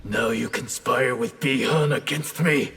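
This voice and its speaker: A man's voice: medium pitch, a little slow, pushed and bright, slightly rough, full character voice. A man playing a grim, protective ninja clan leader in a video game, stern and confident. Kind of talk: video game fighter acting. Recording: game dialogue with music behind.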